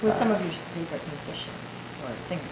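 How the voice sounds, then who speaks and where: A woman's voice: on the low side, quick pace, noisy background. A woman asking friendly questions in a casual group conversation. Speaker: interviewer in group. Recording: echoey room with crowd chatter.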